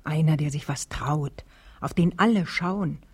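A woman's voice: sits low, a little slow, a little mellow, slightly rough. An actress in a German radio play playing an earnest, worried woman who speaks in a thoughtful, questioning way. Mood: troubled, insistent. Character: earnest, worried woman in a school, pensive and insistent. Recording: 1970s radio drama with music.

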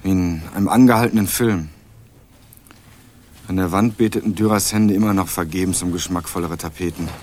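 A man's voice: low voice, fairly quick, a little mellow. A young man narrating a radio crime drama in the first person with dry, wry irony. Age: young adult.

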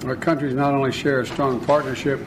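A man's voice: mid-range voice, little pitch movement, moderate pace. A man giving a formal official statement in a noisy clip. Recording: noisy archive clip of a speech.

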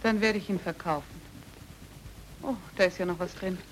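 An actress playing a grieving, resigned young stage actress in an old German radio drama, understated, guarded and fatalistic. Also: female voice, on the low side, moderate pace, a little hiss or hum, lightly acted, low-quality recording. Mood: polite, guarded. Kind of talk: 1950s-60s German radio drama acting. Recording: old radio play with music behind.

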